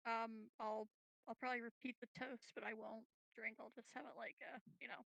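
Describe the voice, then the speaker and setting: Female game player, higher voice with vocal fry, tentative casual chat, dry sound. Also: level tone, brisk, fairly bright tone, no echo, rough recording.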